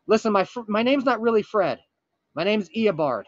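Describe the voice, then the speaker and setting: A man playing a frantic, earnest time traveler from the future on a prank call, urgent, pleading and halting with dramatic pauses. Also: high-pitched, animated, no room sound, highs cut off. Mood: grave warning. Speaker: prank caller. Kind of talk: improvised comic prank phone call.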